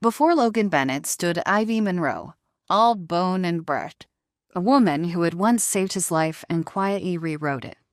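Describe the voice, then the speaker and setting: A woman narrator delivers a dramatic scripted audio series. Her voice sits fairly low, and the recording is close and dry, with no room sound. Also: expressive, measured pace, no room sound.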